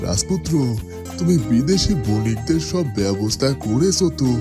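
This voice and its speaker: A man's voice: medium pitch, big pitch swings, normal speed, over music, natural voice. A man playing a kindly older village headman, homely and fatherly, in a fairytale cartoon. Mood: curious, grateful.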